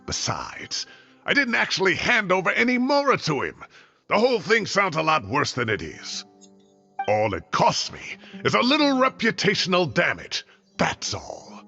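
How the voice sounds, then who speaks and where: A man playing an indignant, theatrical elderly former professor in a video game, expressive and blustery. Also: sits high, normal speed, vocal fry, dull audio, faint music behind, exaggerated voice.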